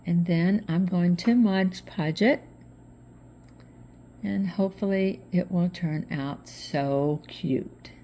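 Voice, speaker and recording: A middle-aged woman with a slightly hoarse voice from allergies, chatting relaxed step by step through a craft tutorial as host. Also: on the low side, slow, a little hiss or hum. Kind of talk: casual craft tutorial narration.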